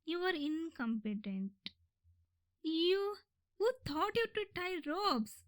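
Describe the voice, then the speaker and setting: A high, unhurried voice in a dry, close recording: a woman acting out a theatrical, lovesick yandere sister in a comic audio roleplay. She is indignant and obsessive, rambling on with exasperated melodrama. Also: very animated, dry recording, full character voice. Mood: outraged, exasperated. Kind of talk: ASMR comedy audio roleplay.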